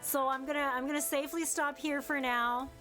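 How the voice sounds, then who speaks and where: A woman's voice: sits high, steady tone, fairly quick, a bit bright, faint music behind. A woman streaming a story game in casual chat, strained and losing her voice, rambling and restarting her sentences. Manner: rambling, self-interrupting.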